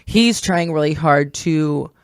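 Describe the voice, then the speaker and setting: A woman's voice: low-pitched, slow pace, no echo. Female podcast co-host, informal and agreeable, conversational delivery, dry close microphone. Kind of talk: casual chat.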